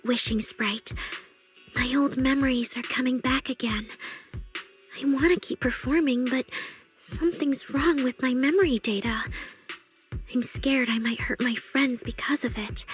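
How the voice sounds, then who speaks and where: A woman's voice: sits high, measured pace, long pauses, faint music behind. A woman voicing an earnest, anxious young female android idol singer in a video game, speaking hesitantly. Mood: worried, pleading. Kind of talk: scripted video game voice acting.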